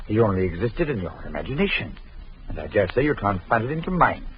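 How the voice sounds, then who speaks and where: Old radio play hero, swings all over pitch-wise, dull lo-fi sound. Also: male voice, big pitch swings, quick pace. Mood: urgent. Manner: urgent, reassuring then alarmed. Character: anxious rational young man trapped. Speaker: radio drama actor. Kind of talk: 1940s radio acting.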